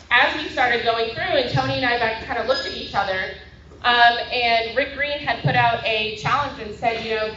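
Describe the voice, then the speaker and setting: A woman's voice: a little high, noisy background. A woman giving a chatty public talk to a meeting, full of fillers. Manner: chatty, many fillers, loud voice. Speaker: guest speaker. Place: meeting hall. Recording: echoey dull hall recording.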